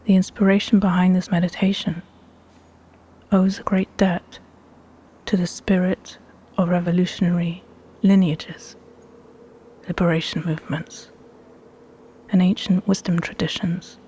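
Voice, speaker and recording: Breathy, husky woman guiding a meditation. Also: lowish voice, level tone. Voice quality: breathy, hushed. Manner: measured, deliberate, phrase-by-phrase delivery with pauses. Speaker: meditation guide. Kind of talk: slow guided meditation narration.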